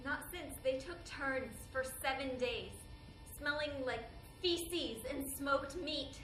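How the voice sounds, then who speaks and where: A traumatized woman , acted on stage, speaks her monologue in a high voice, numb and grieving. The hall rings with echo, and noise sits clearly behind her. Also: takes it slowly, a bit bright, recorded from a distance. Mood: anguished. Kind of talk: theatrical monologue performance.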